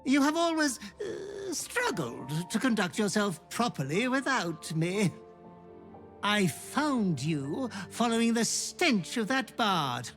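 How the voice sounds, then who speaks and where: An actor voicing a fawning, gleefully depraved fiendish butler creature in a video game, theatrical and florid. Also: male voice, high voice, sing-song, slow, fairly bright tone, soft background music, exaggerated voice. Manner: theatrical, obsequious, florid wording. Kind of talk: video game character acting.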